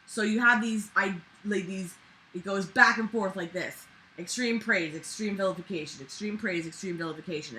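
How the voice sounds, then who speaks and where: A woman's voice: medium pitch, pushed and bright, a little hiss or hum, poor audio. A woman reading aloud with casual, sarcastic, exasperated running commentary and swearing.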